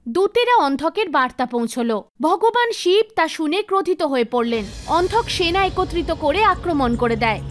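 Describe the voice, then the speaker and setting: A woman reading scripted narration for an animated mythological story. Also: very high voice, moderate pace. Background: music.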